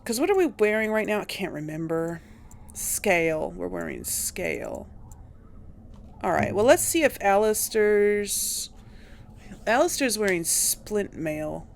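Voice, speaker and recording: A woman gives casual game commentary at an unhurried pace, musing to herself as she goes. Her voice has a frequent creaky edge, and there is some noise behind her. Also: medium pitch, animated. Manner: thinking aloud, musing to herself. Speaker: host of a game playthrough series.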